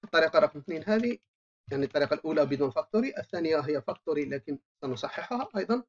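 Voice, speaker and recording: A programming instructor, explaining code while typing, thinking aloud with frequent drawn-out filler sounds. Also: medium pitch. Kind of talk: screen-recorded coding tutorial. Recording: dull lo-fi voice-over mic.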